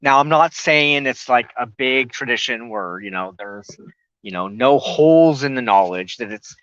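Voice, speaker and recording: A man hosting a spiritual talk show, explaining loosely and haltingly, often pausing mid-sentence to search for words. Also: mid-range voice, animated, fairly bright tone, clean tone, no room sound, highs cut off.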